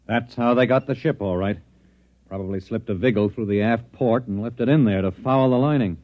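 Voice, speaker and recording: An actor playing a wary fellow colonist, speaking in a dry, matter-of-fact way in 1950s radio drama style. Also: male voice, mid-range voice, fairly quick, no room sound, natural voice. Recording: dull old radio broadcast.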